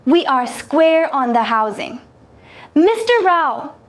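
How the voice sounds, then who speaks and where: A high-pitched insectoid alien broker played by a woman speaks at a slow, measured pace. The creature is ceremonious and politely inscrutable, delivering formal lines in a science fiction audio story. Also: sing-song, heavily acted.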